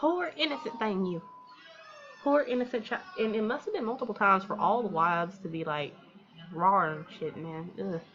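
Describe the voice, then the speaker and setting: A woman's voice: medium pitch, moderate pace, a bit bright, muffled recording. A woman doing casual let's play commentary, reading visual novel narration aloud with slangy, candid asides. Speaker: gaming video host.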